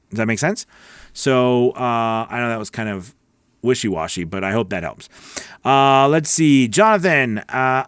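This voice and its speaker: A man's voice: mid-range voice, fairly bright tone, no echo, studio quality. A man hosting a live voice-over class, reading viewer questions aloud and answering them in a chatty, encouraging way with many restarts. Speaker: voice-over coach and livestream host.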